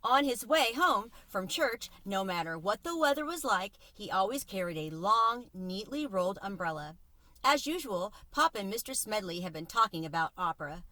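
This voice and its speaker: Bright, lively woman reading a children's story. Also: mid-range voice, moderate pace, no echo. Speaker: read-aloud storyteller. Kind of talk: expressive reading of a children's novel.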